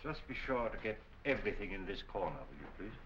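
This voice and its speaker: Calm, courteous gentleman sleuth. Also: on the low side. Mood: calm, inquiring. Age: middle-aged. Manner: polite, measured, unruffled. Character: calm, courteous gentleman detective, middle-aged man. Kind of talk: 1940s film acting. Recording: muffled, noisy old 1940 film soundtrack.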